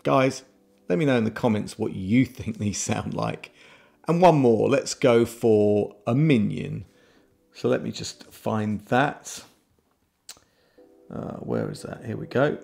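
A man's voice: lowish voice, slow, creaky, a little mellow. A middle-aged man presenting a tech product review in a casual but scripted YouTube style, recorded indoors on a close desk mic. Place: home room with shelves, indoors. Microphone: desk microphone close in front. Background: background music.

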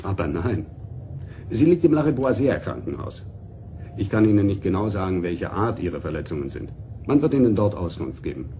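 A man's voice: low-pitched, very animated, moderate pace, warm tone, slight background noise. An actor playing a factual male official delivering bad news in a German radio crime drama. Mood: matter-of-fact. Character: matter-of-fact male official reporting an accident.

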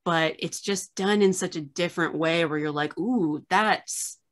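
A woman's voice: lowish voice, a little slow. Gushing woman host on a video call. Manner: enthusiastic, gushing fan, many fillers. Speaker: host, archivist. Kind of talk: casual video-call interview. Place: small room at home, video call.

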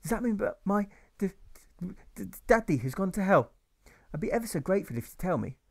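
A man voicing a nervous, earnest young boy who stammers and pleads haltingly in an audiobook story. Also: sits high, very animated, creaky, voice pushed up, exaggerated voice.

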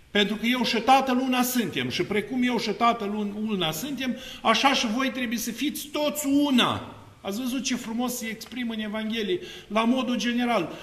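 Emphatic priest in an echoey church. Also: male voice. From Romania. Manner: emphatic, swings between low musing and loud exclamations; animated hand gestures while seated. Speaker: Orthodox priest. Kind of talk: extemporaneous sermon. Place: seated before an iconostasis in a church.

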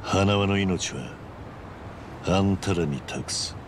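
An actor playing a stoic middle-aged fighter in a video game, speaking in a terse, gruff, restrained way. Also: male voice, low voice, slow pace, background noise. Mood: grave, resolute. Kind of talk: video game drama acting.

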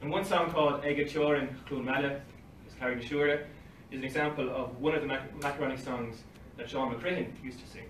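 A man's voice: mid-range voice, fairly even intonation, a little fast, hardly any pauses, a bit bright. Distant man reading an academic talk. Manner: reads from paper at a lectern, dry asides with short laughs. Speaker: conference speaker. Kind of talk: academic talk read from notes. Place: library meeting room with bookshelves. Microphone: far phone camera across the room. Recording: roomy, distant, faint hiss, livestream quality.

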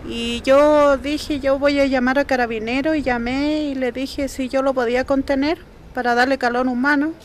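A woman's voice: high voice, a little hiss or hum. A woman in her thirties with a Chilean accent, interviewed outdoors as a witness, retelling events plainly and hesitantly into a handheld mic. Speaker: neighbor and witness. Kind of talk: street interview. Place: outdoors by a building. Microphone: handheld reporter mic.

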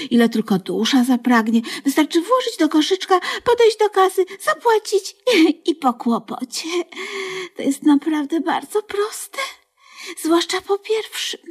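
A woman's voice: sits high, darkish tone. An actress playing a naive, devoted older woman in a radio comedy, effusive, fussy and hopeful, with hesitant, coaxing phrasing. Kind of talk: Polish comedy radio play acting.

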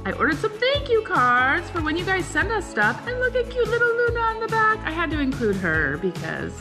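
A woman's voice: very high voice, huge pitch swings, brisk, music in the background. A woman in her thirties chatting casually with family and to a camera in a large room at home. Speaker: family vlogger, mother. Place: large open-plan living room and kitchen, indoors.

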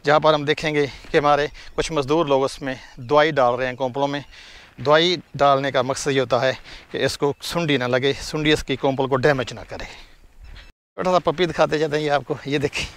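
A fairly high-voiced man talks viewers through his farm out in the open fields. He chats easily, points things out as he walks, and speaks straight into a handheld camera mic. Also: a bit bright, clear, resonant tone. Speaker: farm vlogger. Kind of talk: casual farm tour narration. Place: outdoors on a farm among fields.

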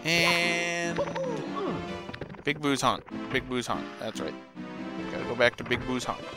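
A man's voice: medium pitch, slow pace. A man giving casual, playful let's play commentary, often talking to the characters in his video game. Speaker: gaming video host. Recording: game music behind the voice. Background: video game music.

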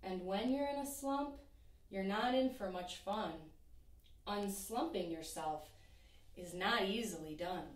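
Slow, expressive woman reading a picture book. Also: medium pitch, far from the mic. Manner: expressive, sing-song rhyming delivery. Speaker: teacher reading aloud. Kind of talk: children's picture book read-aloud. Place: small room in front of a painted backdrop. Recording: echoey room, voice from off-mic.

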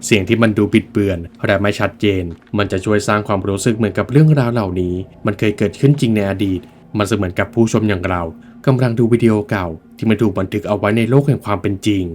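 A man's voice: on the low side, fast, few pauses, a little mellow, a little hiss or hum. A man reading scripted film commentary as a video essay narrator, explaining in an emphatic storytelling manner.

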